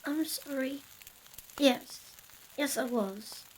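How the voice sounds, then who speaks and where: A woman's voice: high voice, takes it slowly, slight background noise, poor audio. A woman playing a timid, apologetic, well-bred village girl in a period audio drama, hesitant and stammering.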